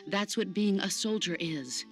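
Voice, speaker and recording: A woman playing a composed, stern, philosophical military mentor in a video game, speaking with measured authority. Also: mid-range voice, faint music behind, natural voice. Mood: solemn, instructive. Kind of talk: video game cutscene acting.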